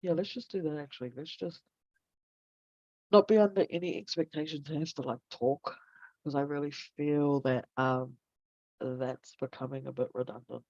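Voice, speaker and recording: A woman's voice: sits low, normal speed, a little mellow, no echo, muffled recording. A woman from New Zealand hosting a casual online talk, meandering and searching for her words, then guiding a breathing session. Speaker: host.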